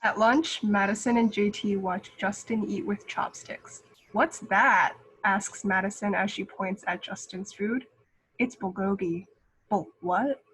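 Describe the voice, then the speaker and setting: A woman's voice: mid-range voice, a little slow, a bit bright, breathy edge, low-quality recording. A woman reading a children's picture book aloud. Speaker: read-aloud reader.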